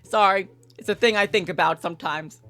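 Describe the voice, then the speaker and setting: A woman's voice: mid-range voice, brisk, a bit bright, soft background music. A woman livestreamer reading a visual novel aloud and commenting casually.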